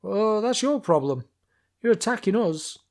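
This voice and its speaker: A man's voice: sits high, lively intonation, unhurried, darkish tone, voice pushed up. A man voicing a grumpy, sarcastic male castle guard who bellows down bluntly from the battlements. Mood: gruff shouting.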